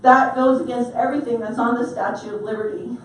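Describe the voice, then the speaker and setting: A woman's voice: fairly high pitch, steady tone, a little fast, a little hiss or hum. A woman from the Navajo Nation, an audience member, making an emotional, impassioned comment at a town hall. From Navajo Nation, United States. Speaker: audience member asking a question. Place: large echoey hall. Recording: echoey hall from far off.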